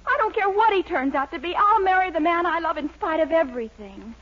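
A woman's voice: very high voice, expressive, fairly quick, fairly bright tone. A woman playing a devoted young woman who pleads for her sweetheart, in a 1940s radio comedy. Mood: pleading. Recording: dull old radio recording.

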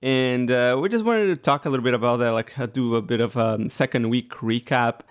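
A Brazilian-accented man hosts a casual podcast chat, loose and hesitant, often stopping on fillers. His voice sits at an ordinary middle pitch. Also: fairly quick. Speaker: podcast host.

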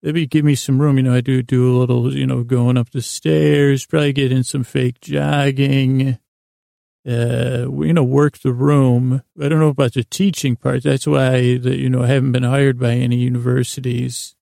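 Middle-aged American male bedtime host: dark, relaxed, heavy vocal fry, lulling delivery, meandering and self-deprecating. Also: medium pitch, moderate pace, no room sound, clean studio sound. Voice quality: lulling, soothing tone. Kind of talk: rambling soothing sleep-podcast monologue.

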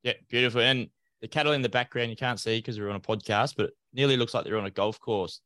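A man who hosts a farming podcast, asking questions in a relaxed conversational way. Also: mid-range voice, fast, dry recording. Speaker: podcast host and farmer. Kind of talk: casual interview hosting.

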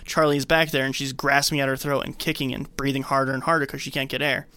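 A podcast co-host casually retelling a film scene by scene, acting out bits in an animated way. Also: mid-range voice, fast, clear, resonant tone.

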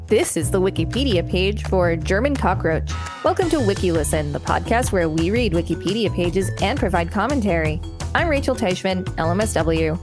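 A woman's voice: on the low side, expressive, moderate pace, music in the background. A woman co-hosting a podcast, reading an article aloud with casual commentary. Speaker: podcast co-host.

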